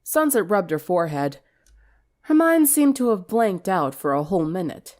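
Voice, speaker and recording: A woman narrating a story dramatically in an expressive, theatrical way. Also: mid-range voice, normal speed. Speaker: fan fiction reader.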